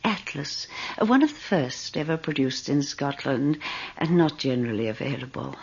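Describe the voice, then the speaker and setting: A woman playing an elderly, wealthy, self-serving widow in a radio drama, speaking in a genteel, deliberate, insinuating manner with a husky voice. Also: deep voice, lively intonation, a little mellow, exaggerated voice. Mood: wry, insinuating. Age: older. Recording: dull lo-fi radio recording.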